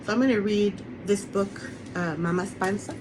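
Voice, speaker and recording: A woman's voice: mid-range voice, moderate pace, background noise. A woman who is an author, reading her children's picture book aloud on an online webinar recording. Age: adult, parent of a young son.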